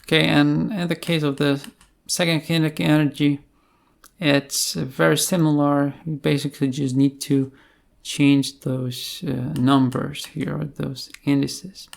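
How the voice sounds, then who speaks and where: Slow talking tutorial instructor, pretty monotone, stops and starts while explaining stuff on a screencast. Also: mid-range voice, gravelly. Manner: halting, pausing mid-phrase.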